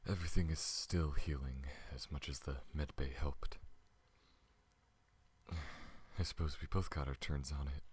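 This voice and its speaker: Male actor as weary alien pilot, ASMR drama: rock-bottom pitch, breathy husk, halting and grateful, half-whispered. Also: fairly even intonation. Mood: groggy, gentle. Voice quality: deep, husky, breathy, often dropping to a whisper. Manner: halting, soft-spoken, hesitant. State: weak, recovering from injury. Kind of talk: ASMR roleplay audio drama.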